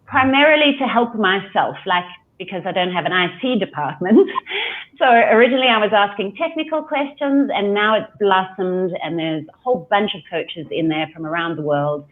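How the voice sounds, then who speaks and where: A woman's voice: medium pitch, animated, moderate pace. A woman with a South African accent, a coach chatting as a guest on a video call, laughing easily. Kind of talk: casual video call chat. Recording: tinny phone-like call audio.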